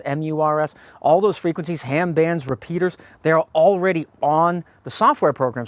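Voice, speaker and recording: A man's voice: a little high, no room sound. A man presenting an informal product review, addressing viewers directly in a folksy way as a host. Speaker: host of a radio gear review channel.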